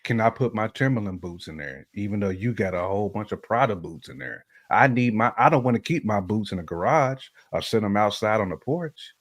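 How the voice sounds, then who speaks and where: An American man chatting casually about relationships as a show host, telling stories in animated, slangy language. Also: on the low side, a little fast, hardly any pauses. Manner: animated storytelling with slang and hypothetical examples.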